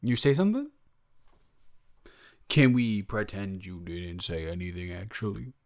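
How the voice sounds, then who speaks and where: A man's voice: lowish voice, expressive, unhurried, darkish tone. A man improvising an audio roleplay as a flustered, evasive roommate who talks straight to the listener, stammering and joking as he rambles. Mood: awkward, evasive. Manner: rambling, stammering, self-interrupting, playful.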